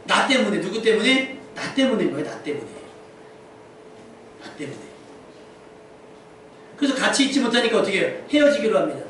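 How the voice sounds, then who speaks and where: High-voiced male preacher in a very echoey space. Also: very animated, fairly quick, pauses often, fairly bright tone. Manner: rhetorical questions, repeats key phrases for emphasis. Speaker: pastor preaching. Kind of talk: Sunday church sermon to a congregation. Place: indoor church service. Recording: very echoey church hall, distant mic, faint noise.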